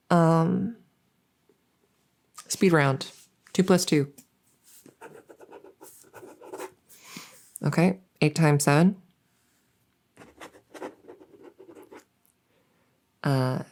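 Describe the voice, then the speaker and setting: A harmless, silly female monster from under the bed, played by a woman in an ASMR audio roleplay. Her voice is low, soft and mellow, with a creaky edge. She rambles haltingly and earnestly, like an awkward interviewer. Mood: brisk, silly. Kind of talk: ASMR audio roleplay, one-sided improvised-sounding interview.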